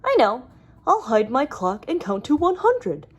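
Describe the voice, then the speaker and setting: Forgetful young storybook boy, played by a woman: earnest, exclaiming, high and very animated. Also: unhurried, husky, exaggerated voice. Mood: eager.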